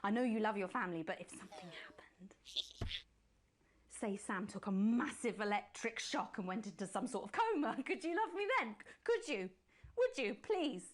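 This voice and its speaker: A woman's voice: medium pitch, animated, fairly quick, hardly any pauses, natural voice. A woman putting on a posh, creepy TV hostess. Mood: pleading. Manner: polished, then pleading and unsettling. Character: posh smooth-talking female TV presenter, creepy and obsessive. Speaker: presenter. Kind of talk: late-night TV sign-off.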